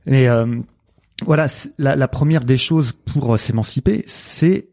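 A man's voice: medium pitch, measured pace, a little mellow, no room sound, studio quality. A man with a Marseille accent, a sports coach talking in a casual interview, hesitant with many fillers and restarts and the odd joking aside.

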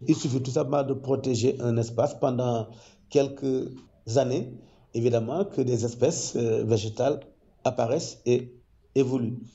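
A man with a gravelly, dark voice explains ecology in a measured, deliberate way, pausing often to find his words. The sound is slightly dull and muffled, as if recorded on modest equipment. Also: medium pitch. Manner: measured, explanatory, with frequent hesitations. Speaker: ecology professor. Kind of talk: interview testimony.

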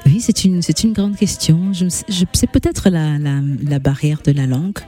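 Mellow Portuguese-accented woman. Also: low-pitched, animated, moderate pace, faint music behind. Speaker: guest singer. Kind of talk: radio interview answers.

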